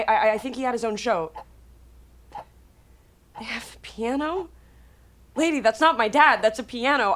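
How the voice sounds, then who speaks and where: A woman playing an anxious, devoted grown daughter in a naturalistic drama, casual, earnest and halting. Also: fairly high pitch, a bit bright, slight background noise.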